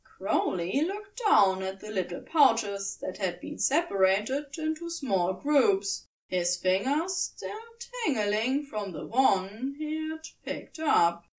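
A woman reading scripted fiction as narrator, in a measured, expressive storytelling manner, recorded slightly away from the mic. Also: fairly high pitch, far from the mic, rough recording. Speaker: podfic narrator.

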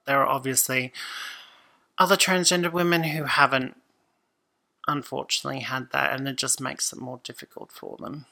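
A woman, a podcast guest talking casually and thoughtfully, often pausing and restarting. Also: rumbling low, steady tone, vocal fry, sharp tone. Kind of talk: casual conversation.

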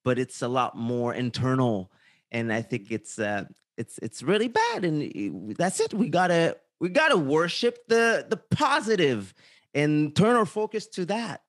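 Guy, a bit higher voice, earnest and measured, dry close sound. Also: very animated, dry recording. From Haiti. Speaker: guest. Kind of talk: casual interview chat.

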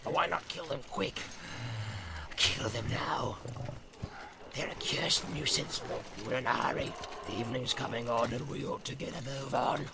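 A man's voice: mid-range voice, moderate pace, gravelly, close to an everyday voice. A man doing a snarling bloodthirsty orc. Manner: snarling, impatient. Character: bloodthirsty impatient male orc from the mines. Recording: loud background hiss.

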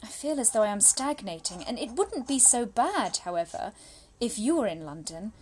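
A woman playing an anxious, spirited young Victorian gentlewoman, confiding in her brother through letters in a formal, genteel and expressive dramatic monologue. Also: fairly high pitch, moderate pace, slight background noise. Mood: wistful, restless.

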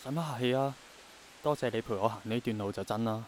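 An actor playing an idealistic, gentle young man in a radio drama, reflective and earnest, with a Hong Kong accent. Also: medium pitch, steady tone, fast talker, close to an everyday voice. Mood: gentle, encouraging. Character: idealistic, persistent young man longing for rain to return.

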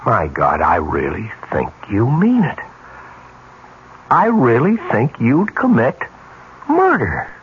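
A man's voice: mid-range voice, wildly swooping pitch, slow pace, long pauses, narrow, tinny audio. A male actor playing an appalled, incredulous man in a 1970s radio drama. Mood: horrified. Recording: thin, faintly noisy old radio recording.

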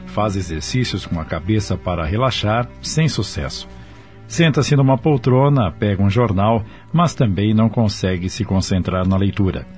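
A man narrating a scripted radio drama in a measured, dramatic storytelling style. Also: fairly low pitch, a little mellow. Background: music in the background.

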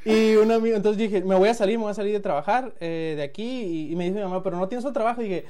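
A man's voice: high-pitched, fast, mellow, a little hiss or hum. Chatty Mexican guy, giggly storyteller. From Sinaloa, Mexico. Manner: laughs often, restarts mid-phrase, acts out quotes. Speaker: guest. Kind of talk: casual storytelling chat.

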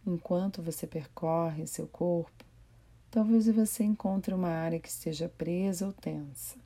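A woman with a Brazilian accent guiding a meditation in a gentle, unhurried manner with pauses. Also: lowish voice. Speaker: meditation guide. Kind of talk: guided body scan meditation.

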